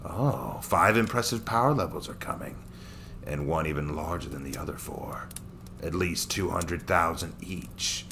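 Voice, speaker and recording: A man acts out an arrogant alien warrior villain from an action story. The voice sits low and sneers with contempt, stretching words into slow mutters. A faint noise runs behind it. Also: creaky, heavily acted. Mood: scornful, musing.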